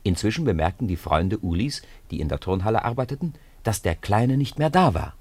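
A man's voice: fairly low pitch, sing-song, fairly quick, darkish tone, husky, no echo. A man narrating a scripted story for a radio play.

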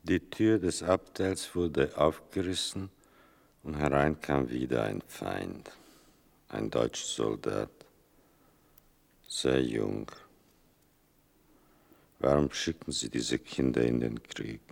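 Older male narrator, rock-bottom pitch, creaky gravel, bitter irony, deliberate radio play delivery. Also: exaggerated voice. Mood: grave, restrained. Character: sardonic, mournful male narrator telling his mother's wartime story.

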